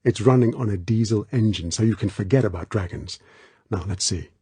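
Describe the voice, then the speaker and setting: Man playing a husky, terse action hero. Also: fairly quick, warm tone, no room sound. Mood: brisk, resolute. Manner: clipped, low-key, commanding. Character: adult male action hero, tough, terse, protective.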